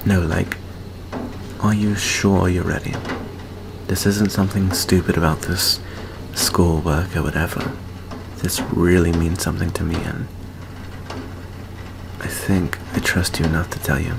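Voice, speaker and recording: A man acts out an earnest, nervous teenage vampire boy in an intimate ASMR roleplay. His voice sits low and crackles with vocal fry. He speaks slowly and hesitantly, stumbling over his words as he confides in a friend. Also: little pitch movement. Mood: nervous, tender. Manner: hesitant, halting, stammering.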